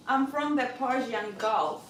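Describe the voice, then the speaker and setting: Slow, laughing East African woman streamer. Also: high voice, a little hiss or hum. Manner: laughs a lot, teasing viewers' guesses. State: sore throat. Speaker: livestream host. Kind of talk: casual live chat with viewers.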